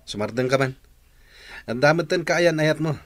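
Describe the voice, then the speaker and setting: An actor with a Filipino accent playing a young playboy in a radio drama, torn and evasive about love. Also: male voice, fairly high pitch, measured pace, slight background noise, lightly acted. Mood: uncertain.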